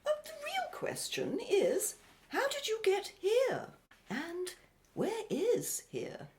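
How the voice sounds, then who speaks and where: A very high, swooping woman's voice acted by a woman, unhurried and exclamatory. She is a plucky, playful explorer from an old-fashioned radio adventure, full of jolly period turns of phrase. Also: huge pitch swings, a little mellow, full character voice. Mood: probing. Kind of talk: radio adventure drama acting.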